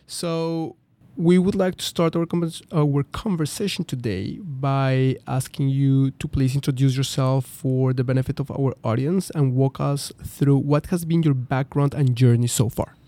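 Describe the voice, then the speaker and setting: A man co-hosting a podcast who asks the guest questions quickly and a little haltingly. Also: medium pitch, steady tone, warm tone, no room sound. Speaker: podcast co-host interviewer. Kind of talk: interview questions.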